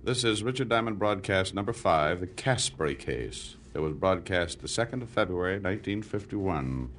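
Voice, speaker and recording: Faint noise surrounds a man with a very deep voice. He gives a plain identifying announcement on an archival recording. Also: animated, normal speed, fairly bright tone. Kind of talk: plain recorded broadcast slate.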